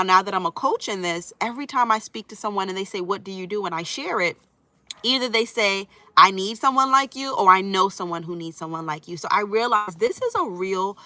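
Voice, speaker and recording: A woman coaching viewers live on relationships, stuffy from a cold, her voice bright and forward. She talks reassuringly and casually, tossing in "right?" often. The sound is close and dry. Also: mid-range voice, no room sound. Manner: reassuring, conversational, frequent "right?" and "you know". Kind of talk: live stream chat reading viewer comments.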